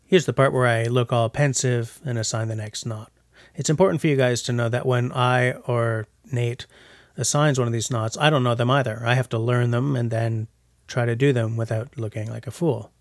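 A man's voice: medium pitch, fairly even intonation, moderate pace, dry recording, clean studio sound. A man in his forties with a jokey, self-mocking manner, narrating a casual vlog voice-over. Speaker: bushcraft vlogger. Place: voice-over, not recorded outdoors. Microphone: voice-over mic.

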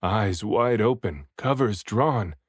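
A man reading a children's picture book aloud expressively, with deliberate, rhythmic phrasing of the rhymes. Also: lowish voice, animated, slightly rough, no room sound, studio quality. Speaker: children's book reader, voice actor.

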